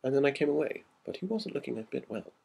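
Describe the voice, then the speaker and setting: A man's voice: medium pitch, lively intonation, fast talker, creaky, mellow, breathy edge, pitched above the performer's own voice, full character voice. A man voicing a puzzled, earnest schoolboy who chats in old slang within a ghost story reading. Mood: confiding. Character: schoolboy recounting an odd episode, puzzled and earnest. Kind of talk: audiobook character dialogue in a ghost story.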